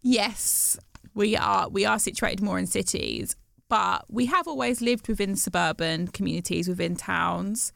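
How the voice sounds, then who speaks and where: A woman from the West Midlands in a casual discussion, animated and playful, quick to tease and laugh. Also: medium pitch, creaky, no room sound, studio quality. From West Midlands, England.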